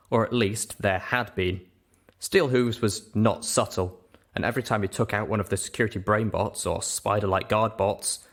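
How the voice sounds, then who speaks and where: A man narrating a first-person story in audiobook style. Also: fairly low pitch.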